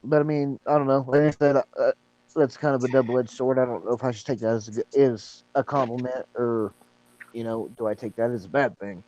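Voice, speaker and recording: A man's voice: medium pitch, steady tone, fairly quick, warm tone, muffled recording. A man in his thirties chatting casually, rambling with lots of fillers and repeating himself. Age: 30s. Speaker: support group member. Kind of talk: casual chat.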